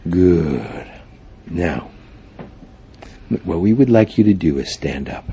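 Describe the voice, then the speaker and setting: A man with a very deep, dark and mellow voice leads a guided meditation. His intonation swings widely as he gives formal, deliberate instructions, dotted with thoughtful hums. It is a live recording with faint noise behind him. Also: sing-song, gravelly. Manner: formal, deliberate phrasing punctuated by frequent hums.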